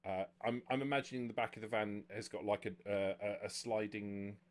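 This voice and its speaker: A man running a tabletop game as game master, improvising narration in a halting way with repeated words. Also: fairly low pitch, fairly even intonation. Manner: halting, frequent stammered word repeats.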